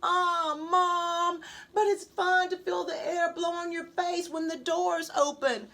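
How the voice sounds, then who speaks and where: A woman's voice: very high voice, fairly even intonation, takes it slowly, creaky, fairly bright tone, voice pushed up, full character voice. A woman voicing a dreamy, curious little girl of first-grade age in a children's storybook. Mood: pleading. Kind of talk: children's storybook read-aloud.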